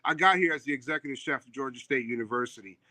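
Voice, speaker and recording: A man's voice: medium pitch, racing, pushed and bright, dry recording. A middle-aged man from Puerto Rico, raised in New York, with a New York accent, talking earnestly over a remote call as a theater owner and chef, full of ums and restarts. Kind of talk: casual interview chat. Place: theater seat on a live call.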